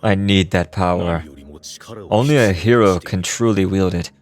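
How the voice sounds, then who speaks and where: A man's voice: deep voice, normal speed, lightly acted. An actor playing a resolute male leader in a fantasy game, speaking in a solemn, declamatory manner. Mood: defiant. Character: resolute male hero-leader bargaining for his people. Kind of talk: fantasy video game voice acting. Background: music.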